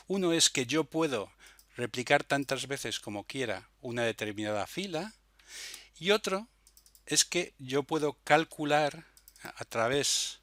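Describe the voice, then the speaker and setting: A man narrates an informal software demo tutorial, explaining step by step with hesitations and frequent little checking tags. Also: mid-range voice, no echo. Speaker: software demonstrator.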